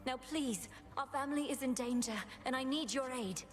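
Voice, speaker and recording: A woman's voice: high voice, moderate pace, lightly acted. Woman acting a solemn young underworld witch princess. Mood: urgent, pleading. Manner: solemn, formal, incantatory. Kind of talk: video game voice acting.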